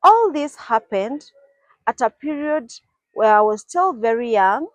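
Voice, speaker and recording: A woman's voice: fairly high pitch, expressive, unhurried, no echo, dull audio. Kenyan woman telling her life story. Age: 40s. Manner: earnest, reflective, with occasional restarts. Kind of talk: personal storytelling to camera.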